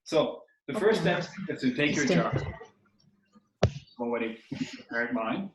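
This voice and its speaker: A man whose first language is Dutch gently walks children through a hands-on activity over a video call. He is friendly and often repeats words while he finds them. His voice sounds roomy and echoey. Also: fairly high pitch, animated, a little slow, very noisy. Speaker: workshop instructor. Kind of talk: teaching children on a livestream. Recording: roomy echo.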